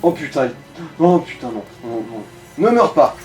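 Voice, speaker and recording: A man's voice: mid-range voice, very animated, moderate pace, a little mellow, recorded from a distance, faint music behind. A man giving casual, informal gaming commentary, coaching a player and swearing now and then. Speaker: let's play co-host guiding the player.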